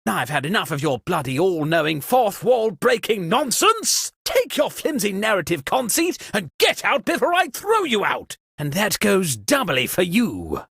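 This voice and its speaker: A pompous British aristocrat from a video game, flustered and easily indignant. His pitch swings wildly through every line with theatrical flourish. The voice has a husky edge and sits in a dry, close space. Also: wildly swooping pitch, unhurried, hardly any pauses, fairly bright tone, dry recording, heavily acted. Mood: indignant outrage. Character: pompous, flustered aristocratic lord hosting a murder party. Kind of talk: video game character voice acting.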